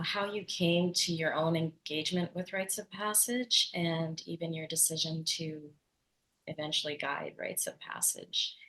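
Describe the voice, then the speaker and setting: A woman moderating a panel, asking questions gently and carefully. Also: low voice, low-quality recording.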